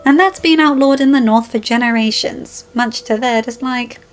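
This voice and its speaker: A stubborn young noblewoman with a sharp, defiant tongue, her voice pitched up and pushed forward, as a woman reading aloud puts her on. She argues fast and won't back down. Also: soft background music, close to an everyday voice. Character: feisty young highborn woman, stubborn and rebellious.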